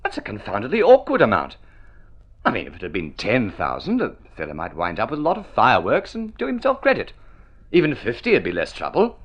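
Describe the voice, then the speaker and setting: An actor playing a spoiled, idle young gentleman in an old radio drama, breezy and exclamatory, full of upper-class slang. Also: mid-range voice, huge pitch swings, a little fast. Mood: amused, incredulous. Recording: dull vintage radio recording.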